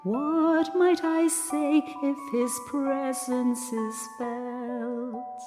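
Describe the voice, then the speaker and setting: A woman's voice: high-pitched, steady tone, very slow, over music. A woman playing a mourner, singing a haunting, sorrowful ballad to a lost love in an audio drama. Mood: mournful. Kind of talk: slow haunting sung ballad. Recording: long cavernous reverb.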